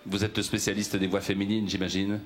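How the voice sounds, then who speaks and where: A man's voice: fairly low pitch, flat delivery, a little fast, a faint crowd. A middle-aged man hosting a TV variety show, interviewing guests playfully. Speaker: TV host. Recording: loud TV studio audience and noise.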